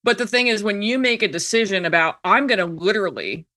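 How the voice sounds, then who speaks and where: A woman in her thirties with an American accent and a bright, forward voice. She talks casually and candidly as an interview guest, chatty and emphatic, slipping quoted remarks into her stories. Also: medium pitch, quick pace. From United States. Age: 30s. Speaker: podcast guest, filmmaker. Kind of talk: casual personal storytelling in conversation.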